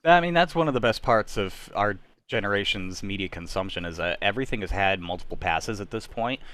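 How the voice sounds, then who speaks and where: A man's voice: on the low side, animated, a little fast, fairly bright tone, no echo. A young man chatting casually as a fan, giving emphatic opinions. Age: young adult.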